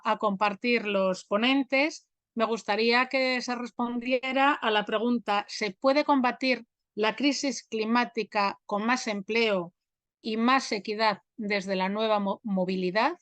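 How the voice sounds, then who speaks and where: A woman moderating an online event, introducing the topic and reading out figures in a measured way over a video call. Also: on the high side, a bit bright, no echo. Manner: measured, reads out figures deliberately.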